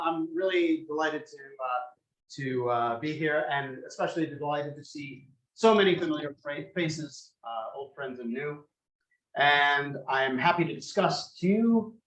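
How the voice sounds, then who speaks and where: A man with a loud voice giving a public lecture, conversational and precise, speaking into a handheld microphone. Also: a little high. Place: lecture room. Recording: echoey room.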